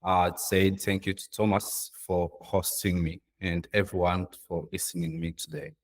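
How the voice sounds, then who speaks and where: A man with a deep voice gives an informal presentation as a guest on a video call. His delivery is earnest and halting, with non-native English phrasing. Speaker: guest speaker, social entrepreneur. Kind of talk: informal presentation of own work.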